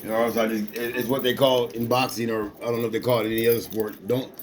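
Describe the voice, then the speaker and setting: A man's voice: mid-range voice, little pitch movement, fast talker. A man in his forties, an amateur boxer, talking casually in loose, repetitive street slang with lots of restarts. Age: 40s. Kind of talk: casual rambling talk to a companion.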